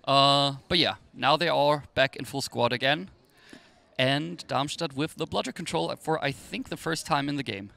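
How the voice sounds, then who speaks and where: A man's voice: mid-range voice, normal speed, vocal fry, sharp tone. An Austrian-accented man, a native German speaker, giving chatty, informative live sports commentary in English. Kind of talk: live quadball match commentary, conversational.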